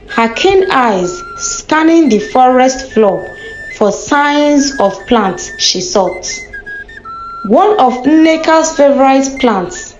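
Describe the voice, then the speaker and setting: Slow, high-voiced woman narrating a folktale. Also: darkish tone. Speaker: storyteller. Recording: dull lo-fi sound, roomy echo. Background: background music.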